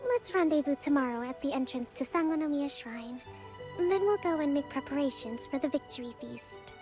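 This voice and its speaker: Woman playing a soft-spoken young priestess ruler, high voice, unhurried. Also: over music, natural voice. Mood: calm. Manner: soft, patient, composed. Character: young female island priestess leader, calm and gracious. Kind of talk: video game voice acting.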